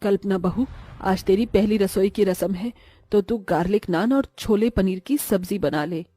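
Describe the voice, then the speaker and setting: A woman acting an expressive, melodramatic household woman in a family cartoon story. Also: mid-range voice, moderate pace, no room sound, faint music behind, lightly acted. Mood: brisk, fretful.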